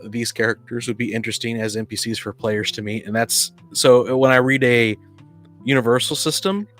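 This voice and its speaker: Male podcast co-host and game master, mid-pitched, casual and animated, slipping into imagined dialogue, faint music underneath. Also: normal speed. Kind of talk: casual discussion of tabletop roleplaying games.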